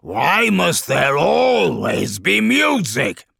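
A man voicing a huge, gloomy, irritable demon lord in a fantasy audiobook, with a gravelly, booming, grumbling delivery. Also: very animated, very slow, fairly bright tone, dry recording, clean studio sound, exaggerated voice. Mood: gloomy, irritated. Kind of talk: dramatic fantasy audiobook character voice.

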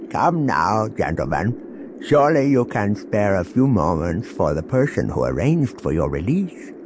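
A man's voice: fairly low pitch, big pitch swings, measured pace, background noise. A man voicing a polite, insistent alien henchman whose speech is slightly garbled by tusks. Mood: coaxing.

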